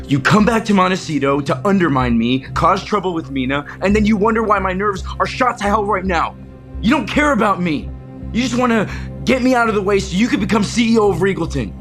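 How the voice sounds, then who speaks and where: An actor playing an anxious, defensive, resentful chief executive in an audio soap opera, scattered and stammering. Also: male voice, high voice, a bit bright. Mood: angry, accusing. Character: anxious, defensive male CEO, rambling, resentful younger brother type.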